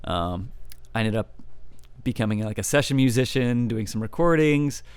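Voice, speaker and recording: Young male baritone, musician guest, easygoing and rambling, smooth tone, close dry mic. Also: normal speed, dry recording. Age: young adult. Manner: relaxed, rambling, many fillers and restarts. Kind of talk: casual interview chat.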